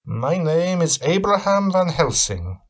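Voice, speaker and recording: A man's voice: on the high side, very animated, unhurried, voice pushed up. A man voicing a weary, haunted male vampire hunter who talks in an earnest, formal, intense way. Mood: grave.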